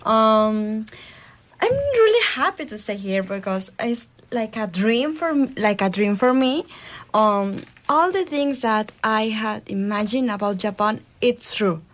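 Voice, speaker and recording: Mexico City woman, Spanish native, higher voice, eager simple English. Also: lively intonation, measured pace, fairly bright tone. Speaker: radio guest.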